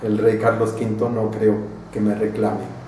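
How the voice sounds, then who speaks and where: A man with a Mexican accent, an acting teacher telling anecdotes in a slow, deliberate lecture. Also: fairly low pitch, little pitch movement, mellow, a little hiss or hum. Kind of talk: storytelling lecture. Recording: very echoey room, far from mic.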